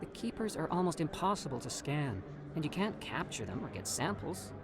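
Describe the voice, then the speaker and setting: An actor voicing an eager, evasive alien scientist in a video game, with a persuasive, self-justifying manner. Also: male voice, on the high side, very animated, a little fast, noisy background. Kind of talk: video game character acting.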